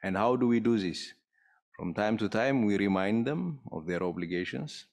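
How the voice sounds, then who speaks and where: A man giving formal explanatory remarks as an official on a panel, speaking in a measured, deliberate way. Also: fairly low pitch, expressive, darkish tone.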